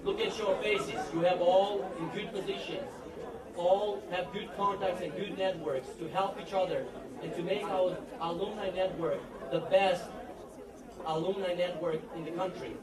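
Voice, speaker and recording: A young man with a slight Texas accent giving a relaxed, proud speech from pages at a party, with some restarts. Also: sits high, normal speed. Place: large hall at a party. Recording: echoey hall, mic far off, loud crowd.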